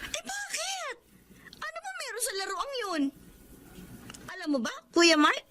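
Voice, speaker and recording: A woman's voice: very high-pitched, very animated, a little slow, noisy background, full character voice. A woman playing a hurt, sulky young schoolgirl in an emotional radio drama. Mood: hurt, puzzled. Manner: plaintive, emotional.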